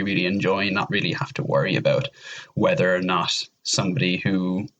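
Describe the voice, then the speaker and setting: Low-pitched Irish man chatting casually. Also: a bit bright, low-quality recording. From Ireland. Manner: rambling, repeats words. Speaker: podcast guest. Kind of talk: casual interview chat.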